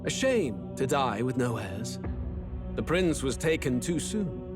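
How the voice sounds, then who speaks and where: An actor playing a cold, scheming male villain who speaks in a measured, menacing way. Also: medium pitch, very animated, music in the background.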